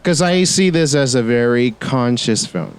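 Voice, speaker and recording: A man with a Bahamian accent mixed with American, hosting a podcast and asking loose, relaxed questions. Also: mid-range voice, a little slow, clear, resonant tone. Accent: Bahamian with American mix. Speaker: podcast host. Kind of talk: casual interview talk.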